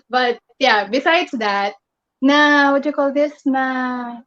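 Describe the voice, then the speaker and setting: Filipino woman, guest poet, video call, fairly high voice, dull lo-fi sound, chatty. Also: slow, fairly bright tone. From Philippines. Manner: switches between English and Tagalog, laughs often, chatty. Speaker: guest poet and flight attendant. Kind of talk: casual video call interview.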